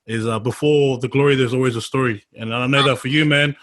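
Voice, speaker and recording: A man's voice: mid-range voice, fairly even intonation, fast talker, fairly bright tone. A man hosting a podcast, friendly and rambling, peppering his questions with bro and man. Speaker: podcast host. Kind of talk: casual interview talk.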